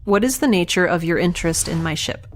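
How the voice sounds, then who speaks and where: A woman's voice: fairly low pitch, fast talker, slight background noise. A woman with a wry, sarcastic way of talking, hosting and voicing her own audio drama. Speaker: podcast creator and lead voice actor. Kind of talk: audio drama acting and sponsor read.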